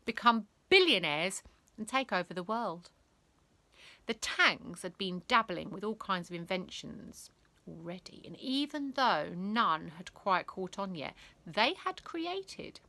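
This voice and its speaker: A woman reads a children's story with a bright, forceful voice and a very lively, swooping pitch. She takes her time, leaning theatrically on key words and pausing for drama. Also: no echo. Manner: theatrical, emphatic, with dramatic pauses and whispers. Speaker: storyteller reading a children's book aloud. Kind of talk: animated bedtime story reading.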